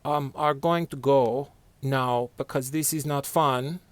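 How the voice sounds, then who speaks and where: A man voicing a polite, disappointed Norwegian visitor in an anecdote, with stilted, deliberate and formal wording. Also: mid-range voice, fairly even intonation, no room sound, voice pushed up, close to an everyday voice. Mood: politely displeased.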